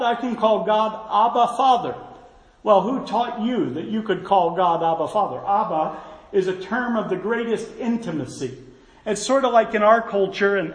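A man with a notably high voice delivers a sermon, quoting scripture in an earnest tone. His words ring out with a long reverb, as if the mic picks him up in a large hall. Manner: earnest, quotes scripture, asides to kids. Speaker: preacher.

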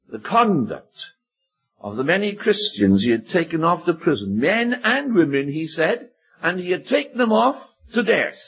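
A man's voice: high voice, breathy edge. A man preaching an earnest expository sermon, with emphatic rises on key phrases. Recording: dull lo-fi recording.

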